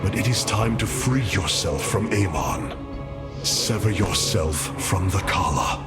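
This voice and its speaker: A man's voice: sits low, expressive, a little slow. A man playing a noble, resolute alien warrior leader in a game cutscene, with stately, earnest and formal speech. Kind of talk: dramatic game cutscene acting. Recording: loud music behind the voice.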